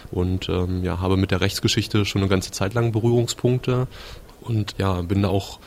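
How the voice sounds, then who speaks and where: A man's voice: low voice, steady tone, normal speed, darkish tone, a little hiss or hum. A man in his twenties introducing himself, hesitant and friendly. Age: 20s. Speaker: law student. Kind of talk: self-introduction.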